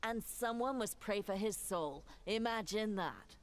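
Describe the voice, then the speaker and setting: A woman playing a sharp-witted, skeptical adventurer in a fantasy video game, dry and observant. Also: mid-range voice, lively intonation, measured pace, close to an everyday voice. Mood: wry.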